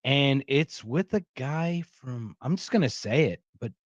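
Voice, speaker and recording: A man's voice: mid-range voice, expressive, a little slow, no room sound, lo-fi sound. A man hosting a podcast in casual chat, rambling with many restarts and self-interruptions. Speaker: podcast host.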